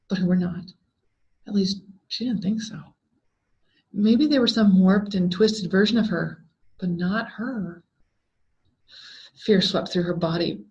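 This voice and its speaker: A woman reading a scripted horror story aloud in a deliberate, dramatic way with drawn-out words. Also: mid-range voice, gravelly, darkish tone. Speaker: story reader. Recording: dull, lo-fi sound.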